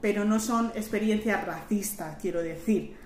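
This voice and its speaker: A woman in her thirties with a Madrid Spanish accent talks loosely and warmly in conversation, peppering her talk with "you know" and little fillers. Her voice sits in the middle of the range. The room sounds roomy, with a faint hum of ambience behind her. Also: medium pitch, normal speed. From Madrid, Spain. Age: 30s. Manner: chatty, lots of fillers and "¿sabes?", acts out quoted voices. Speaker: guest. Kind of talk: casual conversational interview.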